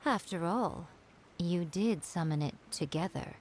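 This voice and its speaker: A woman playing a sly, imperious shrine priestess in a video game, with a measured, condescending, coolly menacing delivery. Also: lowish voice, background noise, close to an everyday voice. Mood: cool menace.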